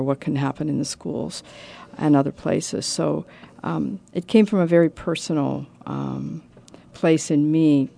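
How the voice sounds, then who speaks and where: Woman guest from Canada, earnest, slightly gravelly tone. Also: low voice, dark tone. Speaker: guest, communications director. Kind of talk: casual interview.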